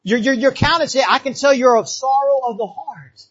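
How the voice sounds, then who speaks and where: A man's voice: extremely high pitch, normal speed, a bit bright, breathy edge, dull audio. A man from the Bahamas preaching a revival sermon in a church, fervent and repetitive, often calling out amen.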